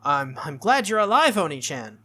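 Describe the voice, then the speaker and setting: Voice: medium pitch, sing-song, moderate pace, pushed and bright. A game player voicing a cheerful, eerily sweet little girl, playful and childlike with casual menace. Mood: sweetly menacing.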